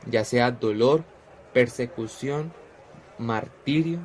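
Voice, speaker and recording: A man's voice: mid-range voice, slow pace, a little hiss or hum, close to an everyday voice. An actor playing Esteban, an earnest male disciple who speaks to a crowd in a solemn, preaching way, in a radio drama.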